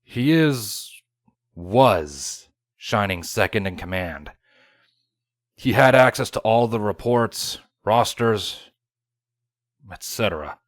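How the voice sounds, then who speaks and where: A man voicing a grave, dutiful guard officer with a formal, measured manner in a fantasy story reading. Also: lowish voice, lively intonation, no room sound, studio quality. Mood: grave, reporting.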